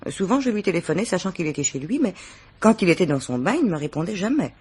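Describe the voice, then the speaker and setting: An actress playing a worldly, composed middle-aged married mistress questioned by police in a French radio mystery, with measured, formal diction. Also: female voice, on the low side, big pitch swings, darkish tone, husky. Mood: composed, frank.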